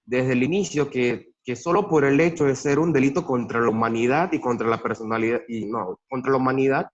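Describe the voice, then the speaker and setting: Hesitant young man, muffled call. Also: medium pitch. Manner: hesitant, halting. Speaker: law student. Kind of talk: class discussion. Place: video call. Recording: dull lo-fi video call audio.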